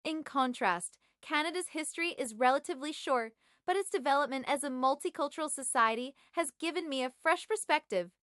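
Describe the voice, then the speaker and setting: High bright Chinese woman reading a presentation. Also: dry recording, studio quality. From China. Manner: measured, evenly read. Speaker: graduate student. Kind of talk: scripted presentation.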